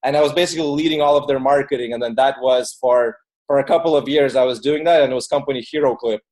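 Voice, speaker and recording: A man's voice: medium pitch, fast talker, a little mellow. A man originally from Ukraine who moved to the US as a child, talking casually and informally as an interview guest. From born in Ukraine, moved to the US at eight. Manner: informal, filler-heavy with frequent "like". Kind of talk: casual conversational storytelling.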